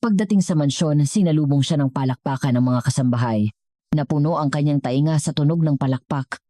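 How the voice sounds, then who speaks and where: A woman's voice: low voice, brisk, warm tone, no echo, studio quality. A woman with a Filipino accent reading a scripted dramatic story as its narrator.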